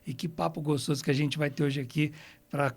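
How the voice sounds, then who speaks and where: A man's voice: fairly high pitch, fairly even intonation, fairly quick. A man hosting a podcast interview, chatty and openly emotional, tagging his sentences with "né". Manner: warm, emotional, chatty, many "né" tags. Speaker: podcast host.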